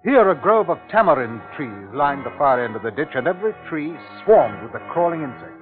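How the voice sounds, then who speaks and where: Anxious commissioner, dramatic radio storyteller, fairly high voice, thin phone-like old recording. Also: male voice, big pitch swings, fairly quick. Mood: grave narration. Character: anxious, observant male commissioner recounting a siege. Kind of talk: 1950s radio drama narration and acting. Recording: tinny 1950s radio recording with music.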